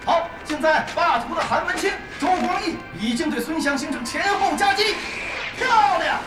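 A woman playing a polished, energetic esports commentator in an audio drama, heard as a broadcast over speakers. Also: very high-pitched. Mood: excited. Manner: brisk, professional, excited. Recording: roomy, distant, loud music behind.

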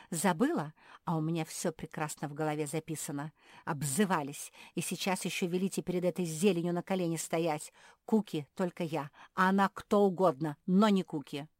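A woman's voice: fairly low pitch, moderate pace, dry recording, studio quality, close to an everyday voice. Woman as a sulky, snappish female pug. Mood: grudging, indignant. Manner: snappish, indignant. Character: sulky, defiant female pug, quick to take offence.

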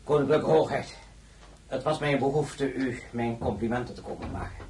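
An actor playing a suave, flattering diplomat and minister in a radio drama, with a courtly manner. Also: male voice, mid-range voice, moderate pace, noisy background, close to an everyday voice. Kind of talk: Dutch radio drama acting.

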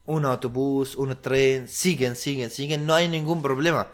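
Young Syrian man in halting Spanish. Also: medium pitch, steady tone. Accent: Arabic. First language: Arabic. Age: young adult. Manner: halting, searching for words in broken Spanish. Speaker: guest. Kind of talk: interview, telling his story.

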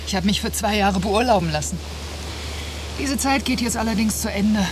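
A woman's voice: mid-range voice, moderate pace, lightly acted. A woman acting an independent, outgoing teacher and traveller in a naturalistic radio drama, chatty and self-assured. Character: independent, outgoing female teacher, seasoned backpacker. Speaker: actor. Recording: hissy old cassette of radio play.

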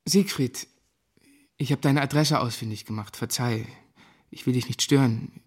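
An actor playing a timid young priest in a radio drama, hesitant, awkward and apologetic. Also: male voice, medium pitch, normal speed, husky, lightly acted. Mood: apologetic, nervous. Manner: hesitant, self-effacing.

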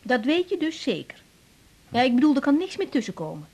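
Radio drama: a young doctor, breathy, hesitant, keeps restarting. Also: female voice, high voice, big pitch swings, a little fast, darkish tone, exaggerated voice. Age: young adult. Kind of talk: 1980s Dutch radio drama acting.

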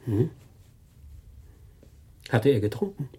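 Dark, husky, watchful detective. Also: male voice, medium pitch, big pitch swings, slow, full character voice. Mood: probing, polite. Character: watchful, soft-spoken male detective, about thirty-five. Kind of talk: radio drama acting.

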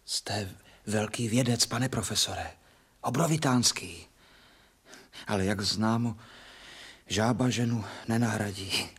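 Husky, humble institute servant. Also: male voice, on the low side, pauses often, a bit bright. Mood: consoling. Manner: deferential, simple and homely. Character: loyal, humble male institute servant, folksy and consoling. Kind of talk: radio drama acting.

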